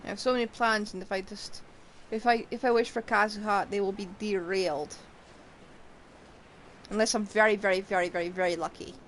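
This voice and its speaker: A woman's voice: medium pitch, steady tone, a little fast, slight background noise, poor audio. A woman streaming a game with casual, playful live commentary, repeating words for emphasis.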